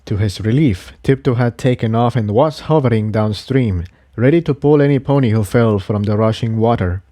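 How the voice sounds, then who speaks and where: A man reading a scripted adventure story as narrator in a measured way. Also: mid-range voice, mellow, no echo, studio quality. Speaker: audiobook reader of fan fiction.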